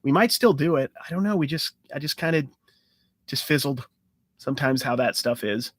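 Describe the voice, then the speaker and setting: A man's voice: medium pitch, lively intonation, normal speed, no room sound. A man hosting a casual live-stream Q&A, talking in a loose, rambling way with restarts and fillers. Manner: enthusiastic about card hunting. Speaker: sports card channel host.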